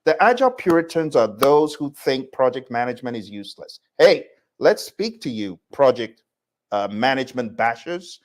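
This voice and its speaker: A man's voice: medium pitch, big pitch swings, normal speed. A man teaching a live online class, talking in an emphatic, conversational way and addressing listeners directly. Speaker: instructor hosting a live class. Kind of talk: live online lecture with audience questions.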